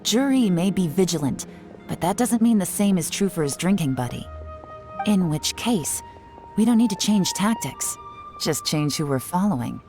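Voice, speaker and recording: A woman's voice: on the low side, animated, normal speed, lightly acted. A woman playing a cool, shrewd intelligence officer in a video game, composed and commanding with dry confidence. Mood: commanding, confident. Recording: music behind the voice.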